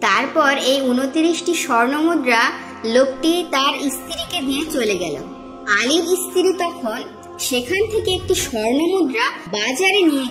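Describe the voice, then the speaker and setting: A woman's voice: high-pitched, roomy sound. Woman narrating a story over music.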